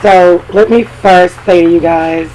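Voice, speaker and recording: A hoarse woman with a cold hosting a podcast in casual, chatty talk full of fillers and asides. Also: on the low side, steady tone, unhurried, darkish tone, slight background noise, poor audio. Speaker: podcast host.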